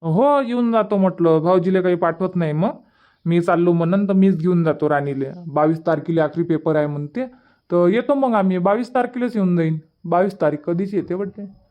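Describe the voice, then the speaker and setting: Lo-fi recording, man playing an easygoing young cartoon village man, high voice, chatty, rural Vidarbha Marathi accent. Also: brisk, close to an everyday voice. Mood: casual, agreeable. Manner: colloquial, chatty. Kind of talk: cartoon comedy dialogue.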